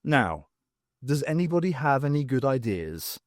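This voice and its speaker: A man voicing a brisk, commanding adult woman heroine in an audiobook reading. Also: medium pitch, sing-song, dry recording, studio quality, close to an everyday voice. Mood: urgent.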